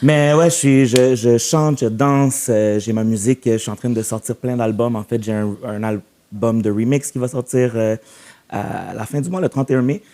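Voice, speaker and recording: A man's voice: mid-range voice, steady tone, moderate pace, warm tone. A man from Montreal with a Quebec French accent, whose first language is Spanish, chatting playfully as a podcast guest and mixing in English. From Montreal, Canada. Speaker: guest artist. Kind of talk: casual podcast chat.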